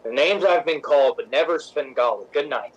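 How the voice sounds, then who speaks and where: An actor playing a wry, candid, self-assured stage director in a radio drama, speaking plainly. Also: male voice, on the high side, lively intonation, fairly quick, slightly rough. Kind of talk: old-time radio drama acting. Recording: slightly dull, lo-fi sound.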